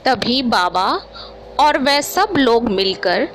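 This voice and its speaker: A woman's voice: fairly high pitch, expressive, slow. A woman giving scripted storytelling narration for a horror cartoon. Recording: very loud ambient noise behind voice.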